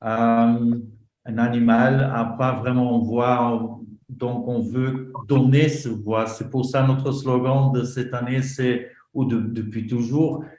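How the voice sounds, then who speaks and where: Flemish man presenting his group at a conference; slow, halting delivery in a roomy hall. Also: mid-range voice, steady tone, a little mellow. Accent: Flemish Dutch. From northern Belgium. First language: Dutch. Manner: halting, many fillers and restarts. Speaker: association representative. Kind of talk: conference talk.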